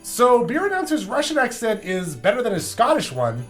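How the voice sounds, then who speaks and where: Animated man hosting with swooping pitch. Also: moderate pace, faint music behind. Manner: animated, exaggerated reactions. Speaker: beer review show host. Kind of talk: casual comic banter.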